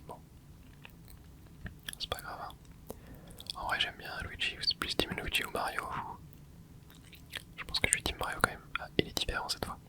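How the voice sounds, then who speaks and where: An ASMR gaming host whispering a running commentary while playing, muttering quiet reactions into a close microphone. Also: moderate pace, pauses often.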